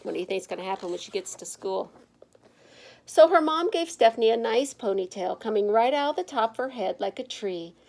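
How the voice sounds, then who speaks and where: Higher-pitched woman reading a children's story. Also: animated, brisk, creaky, a little mellow. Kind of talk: expressive read-aloud narration for children.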